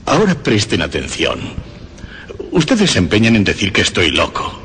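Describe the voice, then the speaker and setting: Low, nervous, obsessive madman. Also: huge pitch swings, fairly bright tone. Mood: boastful, feverish. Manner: agitated, emphatic, halting repetitions. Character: nervous, obsessive male murderer insisting he is sane. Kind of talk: dramatic radio horror monologue. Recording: long reverb, faint background noise.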